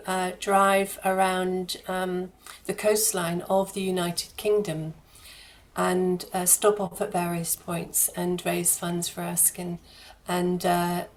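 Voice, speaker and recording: A woman's voice: lowish voice, darkish tone. A woman giving conversational interview answers as a charity guest, phrasing her thoughts in a considered, hesitant way with repeated words.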